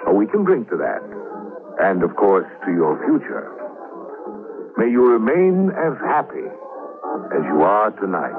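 An actor playing a formal, suspicious older gentleman in a classic radio drama, speaking in a measured, courteous, paternal way. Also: fairly low pitch, huge pitch swings, over loud music. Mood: genial. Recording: noisy, muffled old radio recording.

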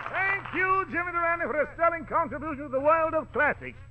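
A man's voice: extremely high pitch, brisk, a loud crowd, very noisy. A man announcing music on 1940s radio in slangy, playful jive-talk patter. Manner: slangy, rhyming hipster patter. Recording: tinny old 1940s radio recording.

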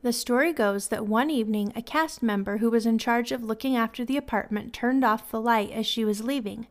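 A woman's voice: fairly high pitch, fairly quick, dry recording. Woman podcaster with a stuffy cold, chatty and sorry about it, super dry close mic. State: congested, voice affected by a cold. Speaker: podcast host. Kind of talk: casual podcast chat.

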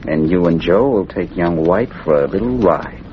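An actor playing a bullying, smug gambling boss in an old radio crime drama, curt and threatening. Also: male voice, low-pitched, expressive, normal speed. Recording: muffled, noisy old radio recording.

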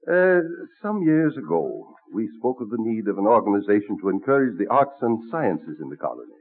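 Courtly colonial statesman, genial and witty, lively intonation, muffled old radio sound. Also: on the low side, fairly quick. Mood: earnest. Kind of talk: vintage radio drama acting. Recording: muffled, narrow-band old radio recording.

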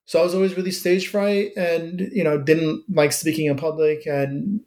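A man's voice: a little high, flat delivery, moderate pace, a little mellow. A man in his thirties from Iran, a native Persian speaker, talking as an author guest in an interview in a reflective way, with many ums and restarts. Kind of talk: interview, personal background.

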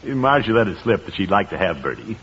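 An actor playing a pompous, good-hearted middle-aged American uncle in an old-time radio comedy. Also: male voice, deep voice, very animated, fast talker, a bit bright, husky, exaggerated voice. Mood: self-assured. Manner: pompous, musing, theatrical. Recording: muffled old radio recording, background hiss.

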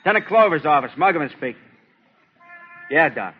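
An actor playing a touchy, blunt American police colleague in a 1950s radio drama, talking in a colloquial way. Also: male voice, fairly high pitch, lively intonation, normal speed, lightly acted. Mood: irritated. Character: gruff, needling male police colleague at headquarters. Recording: dull, faintly noisy old radio recording.

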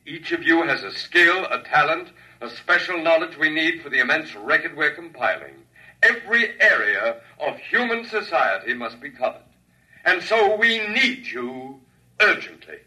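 A grandiose orator projecting from a little way off the mic. His voice is piercingly bright and fairly high, solemn and urgent, declaiming to a crowd in old radio drama style. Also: sing-song, moderate pace, distant mic, exaggerated voice, poor audio. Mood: grand, urgent. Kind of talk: classic radio drama acting, speech to a crowd.

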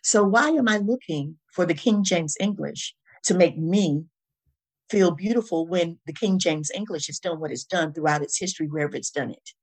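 A woman's voice: lowish voice, normal speed, a little mellow. A woman being interviewed, talking in a reflective, conversational and motivational way, emphatic, with repetition and rhetorical questions. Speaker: interview guest. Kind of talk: conversational spiritual and motivational talk.

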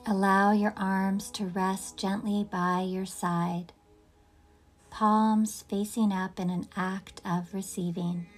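A woman leading a guided yoga nidra meditation in a gentle, soothing, unhurried manner with long pauses. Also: mid-range voice, little pitch movement, faint music behind.

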